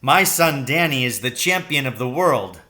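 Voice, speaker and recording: A man's voice: mid-range voice, animated, normal speed, bright, forceful tone. A man voicing a proud, confident poacher father who speaks in an assured, instructive way in a storybook reading.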